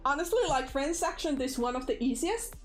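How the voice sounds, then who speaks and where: A woman's voice: sits high, brisk, soft background music. A woman with a Finnish accent hosting a casual livestream, chatty and filler-heavy, laughing easily. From Finland. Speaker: livestream host, animator.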